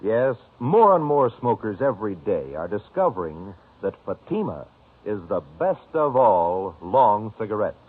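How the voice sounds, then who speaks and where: A man's voice: on the low side, big pitch swings, unhurried, slightly rough. A man reading a 1950s radio advertisement in an emphatic, salesmanlike way. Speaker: commercial pitchman. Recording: tinny vintage radio recording.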